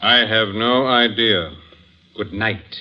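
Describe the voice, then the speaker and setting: An imperious rich husband in an old radio play. The voice is fairly low, curt and haughty. The recording is dull and muffled. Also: male voice, slow pace, sharp tone, full character voice. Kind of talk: 1950s radio drama acting.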